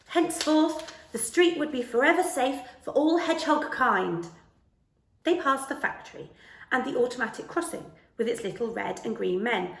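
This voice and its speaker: A woman reads a children's book aloud in a fairly high voice. Her delivery is animated and theatrical, with careful phrasing. The recording has a roomy indoor echo. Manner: careful, dramatic storytelling delivery. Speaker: storyteller reading a children's book. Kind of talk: expressive read-aloud of a children's story. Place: small living room, indoors.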